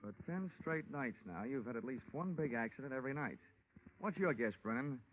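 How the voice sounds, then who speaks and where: An actor playing a wry, cool-headed insurance investigator in a hard-boiled, wisecracking 1940s radio detective style. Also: male voice, medium pitch, expressive, fairly quick, slight background noise, close to an everyday voice. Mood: probing. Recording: tinny, band-limited 1940s radio recording.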